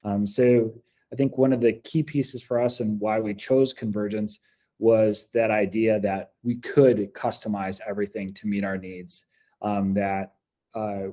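Man, forties, American accent, lowish pitch, soft dark tone, measured earnest interview answers. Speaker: school district program coordinator. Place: glass-walled office meeting room. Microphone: laptop video call.

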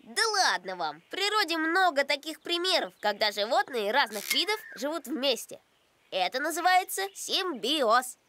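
A woman's voice: high-pitched, sing-song, moderate pace, noisy background. A woman voicing an earnest, caring young boy in a cartoon, explaining things in a reasonable, encouraging way. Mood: reassuring. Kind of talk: animated series voice acting.

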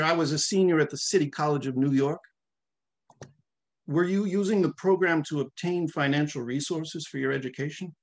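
A middle-aged man reads fiction aloud, voicing formal questions and answers. His voice sits a little high for a man and his delivery is measured. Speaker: author reading from a novel. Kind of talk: dramatic reading of a question-and-answer hearing. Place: small room at home, video call.

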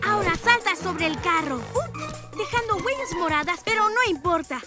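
Eager young cartoon cat hero, boastful, very high pitch, music bed. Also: female voice, expressive, normal speed. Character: boy cat-suited superhero, bold and showy. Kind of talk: cartoon dubbing. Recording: loud music behind voice.

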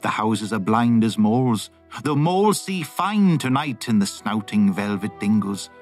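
A man's voice: fairly low pitch, very animated, measured pace, a little mellow, faint music behind. A man giving a poetic dramatic recitation as a voice-over artist.

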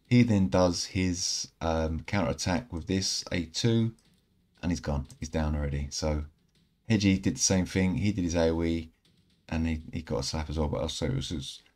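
A man in his thirties giving casual, rambling commentary over mobile game footage, a little croaky from a night out. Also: deep voice. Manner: relaxed, rambling, self-correcting. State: slightly croaky from singing. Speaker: gaming channel host. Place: indoor room at home.

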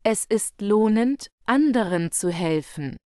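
A woman slowly reading German example sentences for learners, carefully enunciated and repeated. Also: medium pitch, animated, warm tone, smooth, no echo, clean studio sound. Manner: carefully enunciated, each phrase read twice. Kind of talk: slow, clear model sentences for learners.

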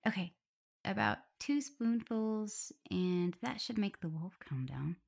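A woman playing a gentle, playful omega werewolf in an ASMR audio roleplay, talking softly, soothingly and a little hesitantly as she looks after her partner. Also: mid-range voice, animated, takes it slowly, gravelly, exaggerated voice. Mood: tender, caring. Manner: soft, soothing, hesitant, giggly. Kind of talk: soft ASMR roleplay, intimate one-sided dialogue.

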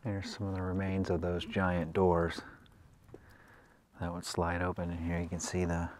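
A man's voice: low-pitched, normal speed, slight background noise. A man casually describing an old airport site as he walks around it outdoors. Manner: relaxed, pointing things out as he walks. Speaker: travel vlogger. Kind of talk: casual exploring commentary.

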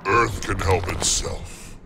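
Gravelly, slow swamp monster. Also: male voice, noisy background, full character voice. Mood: stern. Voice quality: deep, gravelly. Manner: slow, solemn, stern pronouncements. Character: hulking male swamp monster, grim guardian of the Earth. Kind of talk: video game character acting. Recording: music under the voice.

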